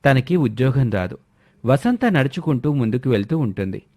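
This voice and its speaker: A man's voice: medium pitch, lively intonation, moderate pace, mellow. A man narrating a scripted moral story.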